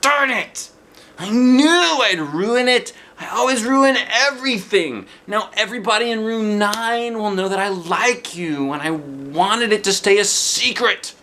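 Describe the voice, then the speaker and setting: A man's voice: sits high, measured pace, pushed and bright, slight background noise, pitched above the performer's own voice, heavily acted. A man voicing a bashful, easily embarrassed young schoolboy, earnest and self-conscious, in a children's storybook reading. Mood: anguished, frustrated. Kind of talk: children's storybook read-aloud.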